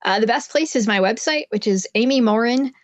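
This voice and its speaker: A woman giving explanatory advice as an interview guest, conversational and measured, on a slightly dull recording. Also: a little high, no echo. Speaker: podcast guest, psychotherapist and author.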